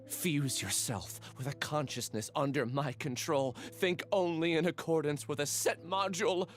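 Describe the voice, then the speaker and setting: A man's voice: on the high side, normal speed, faint music behind, natural voice. An actor playing an earnest, troubled young man in a video game. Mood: troubled recollection. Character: earnest, troubled young male test subject.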